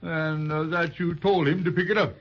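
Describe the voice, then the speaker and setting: Husky roly-poly toy policeman. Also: a little high, steady tone. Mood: sheepish. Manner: folksy, halting. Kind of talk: 1930s children's radio serial acting. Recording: muffled 1930s radio transcription.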